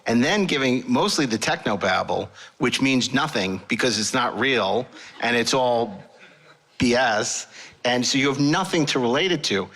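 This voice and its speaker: A man's voice: mid-range voice, fairly bright tone, rough recording. A man interviewing conversationally as a podcast host, wordy and restarting phrases as he builds his questions.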